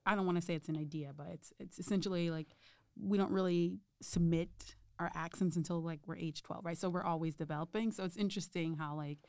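A woman's voice: lowish voice, fairly even intonation, fairly quick, warm tone, no echo, clean studio sound. A woman interviewing in a casual conversation, thinking aloud and searching for words.

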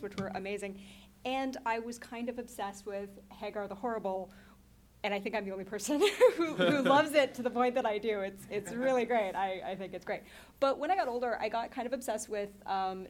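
A young woman gives a lively, chatty talk about comics at a middle pitch. She turns to the audience often and speaks to them directly. A faint noise sits under her voice. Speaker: comics creator.

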